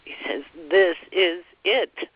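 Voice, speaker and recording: A woman leading a slow guided meditation, softly passing on a spiritual guide's words phrase by phrase. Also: fairly low pitch, husky, no echo. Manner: soft, relaying every phrase with "he says". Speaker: channeler relaying a spiritual guide. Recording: dull, dry lo-fi recording.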